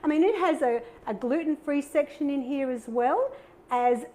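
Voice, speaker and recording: Bubbly high-voiced Australian woman. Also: lively intonation, normal speed, a little mellow. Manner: bubbly, chatty, upbeat. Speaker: cooking show host. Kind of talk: live cooking demonstration.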